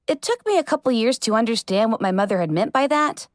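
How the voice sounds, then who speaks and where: High, animated woman narrating a story. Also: fast, no echo, clean studio sound. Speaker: audiobook narrator. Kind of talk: expressive first-person story narration.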